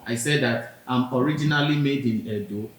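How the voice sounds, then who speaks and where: A Nigerian man on a podcast, earnestly explaining his view with personal examples. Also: normal speed. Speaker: podcast co-host. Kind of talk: casual discussion. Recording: very echoey room, faint rumble.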